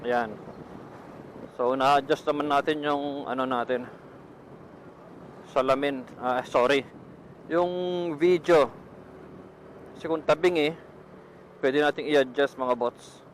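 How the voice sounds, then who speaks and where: A man's voice: mid-range voice, fairly even intonation, takes it slowly, pauses often, low-quality recording. A Filipino man giving casual vlog commentary as he rides a motorcycle, speaking into a helmet intercom microphone. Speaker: motovlogger testing gear. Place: outdoors on a motorcycle on the road. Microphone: Bluetooth helmet intercom microphone. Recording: helmet intercom mic with wind, engine noise. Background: road and vehicle noise.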